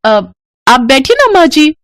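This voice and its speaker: A woman voicing a sly, lazy young daughter-in-law who sweet-talks in an animated family story. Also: high-pitched, unhurried, dry recording, pitched above the performer's own voice. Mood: nervous, coaxing. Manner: sweet-talking, wheedling, cunning. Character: sly, lazy young married twin sister.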